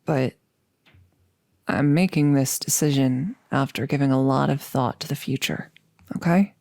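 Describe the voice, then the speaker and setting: Woman acting a low, gravelly, earnest girlfriend. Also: unhurried, no echo, studio quality, full character voice. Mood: earnest, resolute. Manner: hesitant, rambling, earnest, softly sighing. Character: nervous, resolute woman about to become a vampire. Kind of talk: intimate ASMR audio roleplay.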